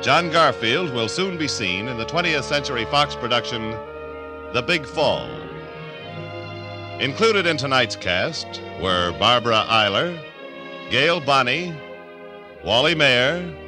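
A man with an American accent reading scripted announcements in the formal style of 1950s radio. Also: mid-range voice.

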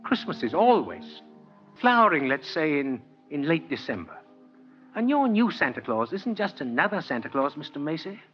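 An earnest old Santa Claus with a genteel, theatrical delivery. His voice sits a little high and moves in wide, lively sweeps. It is an old radio drama recording, muffled, with some music behind. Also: moderate pace. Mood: earnest, moved. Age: older. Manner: genteel, heartfelt, theatrical oratory. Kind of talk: 1940s radio drama acting.

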